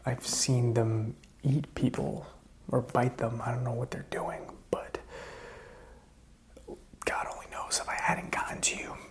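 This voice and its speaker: A man playing a wary but helpful apocalypse survivor in a whispered, soft-spoken ASMR roleplay, breathy, hesitant and conversational. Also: close to an everyday voice. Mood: puzzled. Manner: hesitant, low-key, conversational. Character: wary, helpful man sheltering a stranger during zombie apocalypse.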